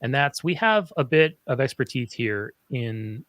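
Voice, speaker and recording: A man's voice: mid-range voice, expressive, a bit bright, no room sound. A man moderating a livestream panel, talking enthusiastically with many ums and uhs. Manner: filler-heavy, enthusiastic, halting.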